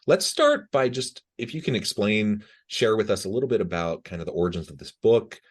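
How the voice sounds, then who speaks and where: Deep-voiced podcast host. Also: male voice, big pitch swings, fairly quick, slightly rough. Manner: warm, affirming, rambling phrasing. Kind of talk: podcast interview hosting.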